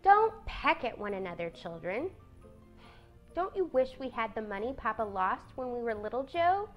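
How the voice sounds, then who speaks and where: A woman's voice: fairly high pitch, very animated, normal speed, soft background music. A woman voicing a prim, responsible teenage elder sister with an earnest, lecturing manner in a storybook reading. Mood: longing.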